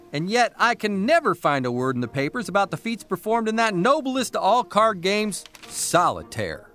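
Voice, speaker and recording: An actor playing a weary, wry 1930s American newspaper columnist in an audio drama. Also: male voice, sits high, a bit bright. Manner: exasperated, dry, wry. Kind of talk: naturalistic audio drama acting.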